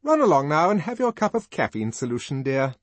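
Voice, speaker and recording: Bossy head nurse, loud and scolding, as a male narrator puts her on. Husky, dry. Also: fairly high pitch, sing-song, brisk, no echo, pitched above the performer's own voice. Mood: fierce. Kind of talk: audiobook reading.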